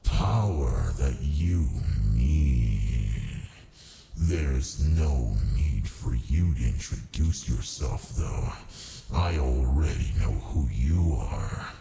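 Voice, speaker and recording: A man playing a haughty, seductive ancient archdemon in an ASMR roleplay, with a gravelly, languid, condescending delivery. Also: a bass voice, expressive, very slow, over music, full character voice. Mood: haughty, matter-of-fact.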